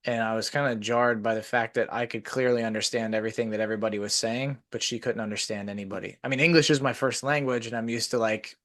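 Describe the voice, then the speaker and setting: A man's voice: medium pitch, steady tone, quick pace, few pauses, a bit bright. A man hosting a podcast. He chats casually and rambles through long stories, with many "like" fillers. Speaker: podcast host. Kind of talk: casual conversation.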